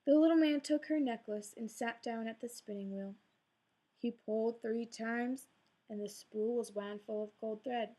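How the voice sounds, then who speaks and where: A woman narrating a fairy tale in an expressive, gently dramatic read-aloud style. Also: a little high, a little slow, rough recording. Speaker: storyteller.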